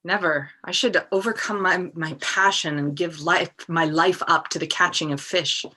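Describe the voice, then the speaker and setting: A world-weary, self-absorbed novelist, read by a woman in a stage play over video call. The voice is bright and crisp-edged, a little low for a woman, musing and measured. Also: fairly even intonation. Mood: reflective.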